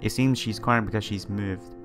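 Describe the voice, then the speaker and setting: Man, lowish voice, let's player narrating a visual novel, halting phrasing, music in the background. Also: fairly even intonation, fairly bright tone. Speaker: video game let's player. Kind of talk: reading visual novel text aloud with asides. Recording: music behind the voice. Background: game music.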